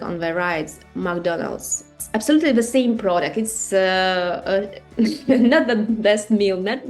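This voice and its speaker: Russian-accented woman teaching a branding class. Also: mid-range voice. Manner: conversational, many fillers and rhetorical questions. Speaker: marketing and branding instructor. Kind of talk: online master class presentation.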